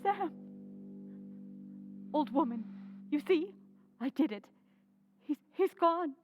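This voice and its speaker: A desperate, fearful wife in radio horror, played by a woman. Her voice is extremely high and swings wildly. She speaks haltingly, repeating herself with suspicion and dread. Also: expressive, narrow, tinny audio, over music. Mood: pleading, frightened. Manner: halting, breathless repetition, highly emotional. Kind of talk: old-time radio horror acting.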